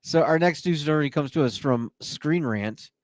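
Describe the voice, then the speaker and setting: A man's voice: a little high, moderate pace, dry recording. A man hosting a podcast, chatting casually and joking, with frequent fillers. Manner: playful, jokey, many fillers. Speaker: podcast host. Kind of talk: casual chat.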